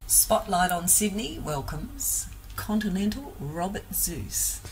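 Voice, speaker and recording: A woman's voice: mid-range voice, very animated, takes it slowly, low-quality recording. A woman hosting a casual interview, encouraging her guest with brief replies. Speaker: interviewer, show host.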